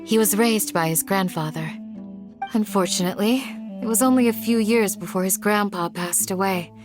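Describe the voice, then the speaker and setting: A woman voicing a composed, gentle and resolute village guardian in a video game, with measured delivery. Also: medium pitch, close to an everyday voice.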